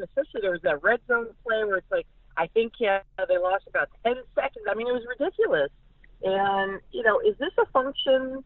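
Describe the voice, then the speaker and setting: A woman's voice: mid-range voice, no room sound. A thin, phone-like line carries an American woman talking sports as a guest analyst. She is emphatic and opinionated, repeating words as she makes her case, in a mid-range voice with a dry sound. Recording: phone-like narrow-band radio sound.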